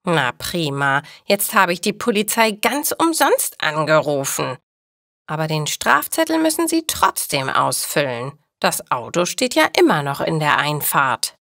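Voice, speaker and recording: A woman's voice: sits low, sing-song, a little slow, creaky, fairly bright tone, no room sound, clean studio sound, full character voice. A woman voicing a fussy older female neighbour in a story, indignant, scolding and self-righteous. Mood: grumbling.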